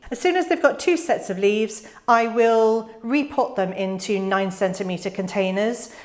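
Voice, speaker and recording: A young woman from the UK talks through her seed choices in a relaxed, chatty teaching voice. Her pitch sits in the middle, and she drops in casual fillers and small laughs as she explains. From United Kingdom. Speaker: gardening channel host. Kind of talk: casual instructional voice-over.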